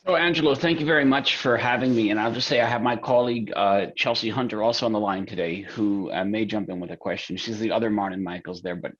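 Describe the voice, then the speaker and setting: A man appearing as a guest lawyer on a podcast, explaining things in a relaxed, conversational way with fillers. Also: fairly low pitch, fast, few pauses, lo-fi sound. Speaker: guest attorney. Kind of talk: interview answer.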